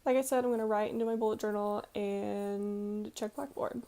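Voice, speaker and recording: A woman's voice: medium pitch, steady tone, moderate pace, creaky. A woman in her early twenties vlogging in a casual, chatty way, rambling informally with conversational asides. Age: early 20s.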